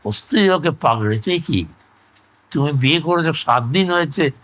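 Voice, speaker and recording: An elderly man reminisces in casual conversation, reflective and halting, often repeating himself. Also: on the high side, animated, fairly bright tone, poor audio. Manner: halting, reflective, trailing into repetitions. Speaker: interview guest, retired engineer recalling life.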